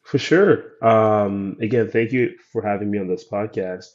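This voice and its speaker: Male guest from Haiti, low soft voice, thoughtful, lots of "um", dull lo-fi sound. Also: unhurried. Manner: soft-spoken, thoughtful, many "um"s. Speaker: guest filmmaker. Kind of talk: interview storytelling.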